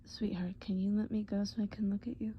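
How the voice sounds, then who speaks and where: A woman voicing a tender, worried boyfriend in a comfort roleplay, breathy and often whispering, with halting, soothing speech. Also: mid-range voice, little pitch movement, lo-fi sound, slight background noise, lightly acted. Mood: worried, gentle. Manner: soothing, halting, pet names.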